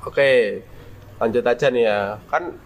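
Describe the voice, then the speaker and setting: A man's voice: mid-range voice, slow pace. A teenage boy hosting a school podcast, reacting often and asking the guest questions. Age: teens. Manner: reacts a lot, asks questions. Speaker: podcast host. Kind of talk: casual podcast chat.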